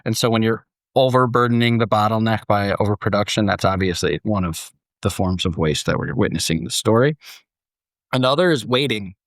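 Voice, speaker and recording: A man's voice: on the low side, fairly quick, dry recording. A man with an American accent hosting a podcast in a chatty, jokey, casual way. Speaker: podcast host.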